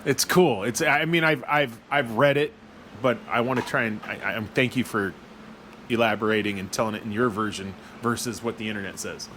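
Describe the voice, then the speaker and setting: A man's voice: medium pitch, a little fast, bright, forceful tone, slight background noise. A man co-hosting a podcast, interviewing a guest in a casual, upbeat and enthusiastic way. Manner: upbeat, enthusiastic, self-deprecating.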